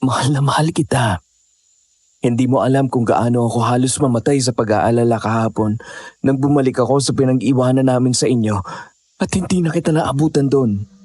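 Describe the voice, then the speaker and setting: A man's voice: medium pitch, a little fast, darkish tone, husky, no echo, natural voice. A man playing an earnest, devoted young boyfriend in an audio horror drama. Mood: tender.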